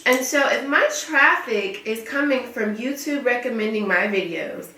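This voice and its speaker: A woman's voice: on the high side, lively intonation, normal speed, bright, forceful tone, echoey room, far from the mic. A young woman in a casual vlog, sounding stuffy and congested from a cold, venting frankly with lots of ums. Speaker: beauty vlogger. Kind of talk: casual vlog talk to camera.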